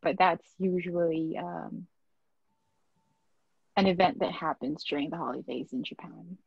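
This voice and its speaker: Lower woman, dry dull sound. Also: a little slow, creaky, breathy edge, no room sound. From Philippines. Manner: relaxed, hesitant with fillers and likes. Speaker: panelist, digital marketing specialist. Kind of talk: casual panel discussion.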